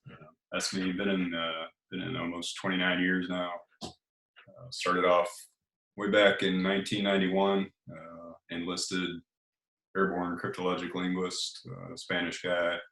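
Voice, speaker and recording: A man in his late forties, a podcast guest, talking in a steady, measured way with frequent "uh" fillers in a deep voice. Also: echoey room, poor audio. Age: late 40s. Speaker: podcast guest, Air Force colonel. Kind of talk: casual interview chat.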